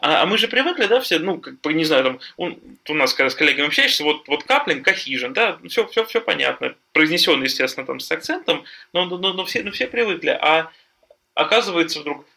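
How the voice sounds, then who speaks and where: A man chatting casually on a panel, talking in a halting way with lots of fillers and restarts. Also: fairly high pitch, expressive, gravelly, pushed and bright. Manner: hesitant, many fillers and restarts. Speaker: podcast guest, software developer.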